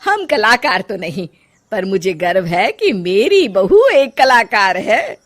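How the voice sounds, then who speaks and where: A woman's voice: mid-range voice, big pitch swings, takes it slowly. A woman voicing a chatty, middle-aged mother-in-law, bossy but affectionate and homely, in a cartoon story. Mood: admiring, proud.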